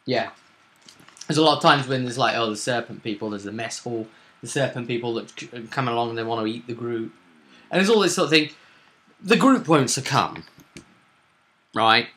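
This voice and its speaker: A man's voice: medium pitch, moderate pace, pauses often, fairly bright tone. A man hosting a vidcast as game master, chatting casually and animatedly to viewers as he rambles through a roleplay session recap.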